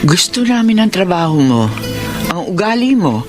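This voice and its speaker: A woman's voice: on the low side, sing-song, normal speed, music in the background. A woman in a Filipino radio drama playing a kindly, motherly employer of a housemaid. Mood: kind, reassuring.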